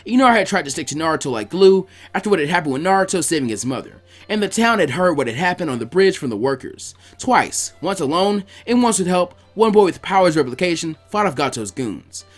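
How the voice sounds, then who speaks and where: A man narrating a story aloud in an expressive audiobook style. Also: a little high, brisk, few pauses, faint music behind.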